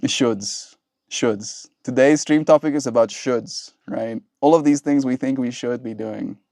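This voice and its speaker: A man's voice: medium pitch, measured pace, gravelly, darkish tone, no echo, clean studio sound. A man in his twenties, a native Afrikaans speaker from southern Africa, chatting casually with his stream audience in a playful, rambling way. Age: 20s. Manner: playful, rambling, laughs often. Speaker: livestreamer.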